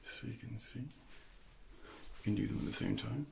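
A man in his thirties speaking in a soft, breathy near-whisper, gently and slowly coaching a workout as an ASMR personal trainer. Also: lowish voice, background noise. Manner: slow, gentle, hushed coaching.

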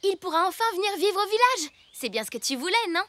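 A woman's voice: very high voice, very animated, fast talker, heavy background noise. Bossy headstrong girl. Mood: scolding. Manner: scolding, insistent. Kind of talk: cartoon dub acting.

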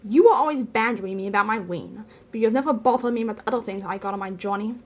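A woman's voice: on the high side, lively intonation, brisk. A woman voicing an elderly male hobbit, stubborn, touchy and possessive, in an emphatic, testy storybook reading. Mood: suspicious, annoyed. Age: older.

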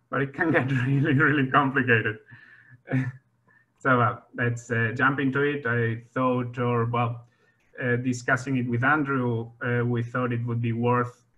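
A man's voice: mid-range voice, bright, forceful tone, low-quality recording. A man in his thirties from Spain with a Spanish accent, giving an online slide presentation over a video call, talking loosely with small laughs. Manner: many fillers and restarts, friendly with small laughs. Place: home room on a video call. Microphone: video call webcam.

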